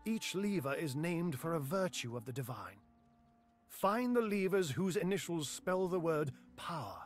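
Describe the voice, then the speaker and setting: An actor playing an ancient, enigmatic wizard in a fantasy video game, speaking in a measured, theatrical and wryly weary way. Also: male voice, fairly high pitch, lively intonation, soft background music. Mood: solemn, cryptic.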